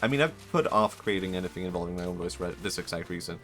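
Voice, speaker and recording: A young man chats live in a very low voice, rambling and hesitant, full of fillers and restarts. He pokes fun at himself as he goes. Faint music plays under his voice. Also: expressive, fast talker. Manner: self-mocking, laughs softly. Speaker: streamer. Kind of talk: casual live-stream chat.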